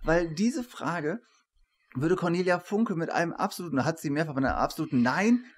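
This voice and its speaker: A man's voice: a little high, animated, fairly quick. A man in his forties co-hosting a literary interview, putting questions in a conversational style. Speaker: interviewer, co-host. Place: attic room, indoors. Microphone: shared desktop microphone on a video call.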